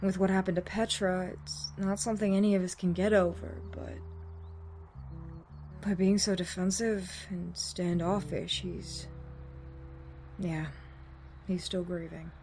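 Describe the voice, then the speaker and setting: A woman's voice: on the low side, fairly even intonation, measured pace, pauses often, darkish tone, faint music behind. A woman acting a blunt, sardonic survivor in an audio drama, with casual, naturalistic delivery. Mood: subdued. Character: blunt, sweary, sardonic adult female survivor.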